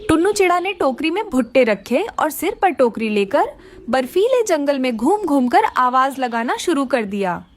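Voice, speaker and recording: High, expressive female storyteller. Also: normal speed, a little hiss or hum. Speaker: narrator. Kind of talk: scripted children's story narration.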